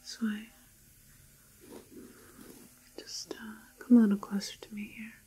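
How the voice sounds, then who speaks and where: A woman playing a calm, clinical doctor in a soft, often whispered ASMR roleplay, speaking in a reassuring, halting way. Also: mid-range voice, husky. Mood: soothing. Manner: hesitant, reassuring, slow and halting. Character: calm, clinical female doctor examining a quarantined patient.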